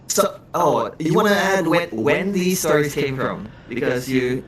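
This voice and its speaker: A man's voice: on the high side, animated, a little slow, crowd noise. A man co-hosting a livestream in casual chat, stumbling and repeating words as he goes. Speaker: livestream co-host. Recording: faint background noise.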